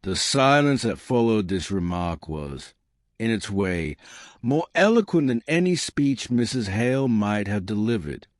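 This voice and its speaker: A man narrating a historical romance story with measured, formal literary phrasing. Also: lowish voice, big pitch swings, dry recording, clean studio sound. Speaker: audiobook narrator.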